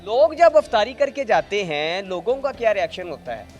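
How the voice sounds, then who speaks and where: A man's voice: sits high, very animated, moderate pace, lo-fi sound, soft background music. A man with a Pakistani accent interviewing as a news reporter on location, asking long, repetitive questions. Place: on location at a mosque.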